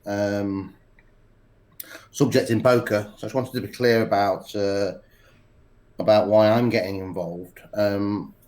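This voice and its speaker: A man with a cold, speaking in a halting way with many pauses and restarts as a podcast interview guest. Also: lowish voice, steady tone, a little mellow. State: congested with a cold. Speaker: poker executive guest.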